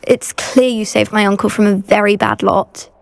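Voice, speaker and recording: Creaky-voiced British schoolgirl witch, played by a woman. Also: mid-range voice, steady tone, normal speed, lightly acted. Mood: grateful, uneasy. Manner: earnest, well-spoken. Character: anxious, polite teenage schoolgirl witch devoted to her uncle. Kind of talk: video game dialogue acting.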